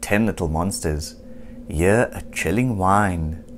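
A storybook reader giving an expressive, theatrical read-aloud for children, deliberate and full of suspense. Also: low-pitched, a little hiss or hum. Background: music and sound effects.

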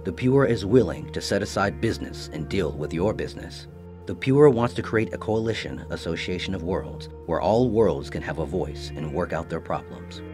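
A man's voice: deep voice, expressive, moderate pace. A man playing a grand, earnest commercial announcer, narrating in a pompous, solemn promotional style. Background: music.

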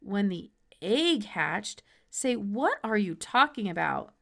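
A woman's voice: mid-range voice, big pitch swings, slow, creaky, fairly bright tone, exaggerated voice. Casting: groggy, doubtful stranger on the phone in a storybook, done by a woman, curt delivery. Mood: skeptical. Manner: curt, skeptical. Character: sleepy, skeptical stranger answering the phone.

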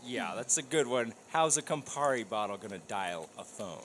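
A man playing an excitable, gullible cop with an American accent, questioning everything in exaggerated disbelief in a live audio comedy. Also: medium pitch, moderate pace, a little hiss or hum, natural voice. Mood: incredulous. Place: small studio stage, live audience. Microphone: studio condenser mic.

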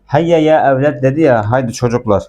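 Man, dark relaxed voice, casual lesson delivery, explanatory, repetitive translations. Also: medium pitch, fairly quick, soft background music. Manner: explanatory, repeats words and translations. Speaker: Arabic teacher. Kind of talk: casual language lesson explaining film dialogue.

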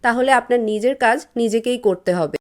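A woman performs a curt young cartoon housewife who resents her in-law. Her voice sits on the high side, with a brisk, scolding edge. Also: background noise, lightly acted. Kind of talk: cartoon voice acting.

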